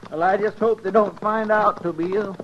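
A man's voice: high-pitched, fairly even intonation, normal speed, a bit bright. A man playing a folksy, nervous, good-natured frontier sidekick with a rustic drawl, in 1950s radio western style. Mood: uneasy. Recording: muffled, noisy old radio recording.

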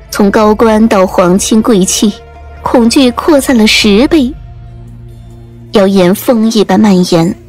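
A woman's voice: fairly high pitch, a little mellow. A woman reading scripted audiobook narration as the storyteller. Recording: music under the voice.

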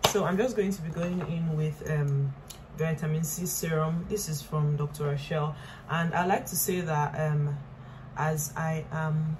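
A woman in her twenties with a Nigerian accent chatting casually to camera, pausing and repeating words for emphasis. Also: sits low, steady tone. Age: 20s. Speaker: lifestyle vlogger. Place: small bright room indoors. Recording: clear background noise and hum.

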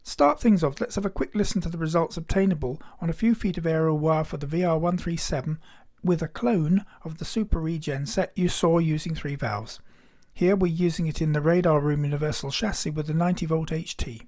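A man's voice: a little high, brisk, hardly any pauses, a little mellow. A man giving scripted narration for a hobby electronics demonstration.

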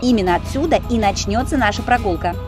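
A woman narrating a travel video as its host, in an expressive, storytelling voice-over. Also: mid-range voice, fast talker. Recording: voice-over with music behind.

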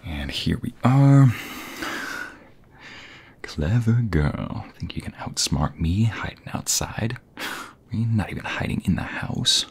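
Man voicing a playful young husband, ASMR roleplay, deep breathy voice, slow drawn-out teasing, affectionate. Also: big pitch swings, heavily acted. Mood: mock defeat. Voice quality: breathy, often dropping to a whisper. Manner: teasing, affectionate, drawn-out delivery with pet names. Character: playful, doting young husband playing hide and seek.

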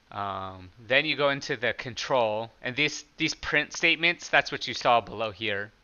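A man with a loud, very bright and smooth voice talks plainly through his code, like a hobbyist showing his own project. The sound is a little dull, as from a modest home setup. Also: mid-range voice. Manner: loud speaking voice; plain, conversational explaining with small restarts. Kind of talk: casual screen-recorded tutorial.

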